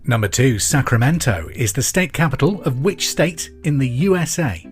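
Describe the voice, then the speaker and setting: A man's voice: mid-range voice, big pitch swings, normal speed. An Englishman presenting a pub quiz podcast in a chatty, conversational way, as the host. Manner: conversational, clearly repeats questions. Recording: music behind the voice. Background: music.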